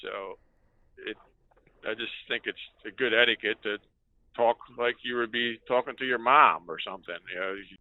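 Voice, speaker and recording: A man's voice: medium pitch, normal speed, no room sound. A middle-aged or older man, a veteran trucker, chatting as a guest in a folksy, anecdotal way. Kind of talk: casual anecdotal chat. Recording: thin, phone-like narrow audio.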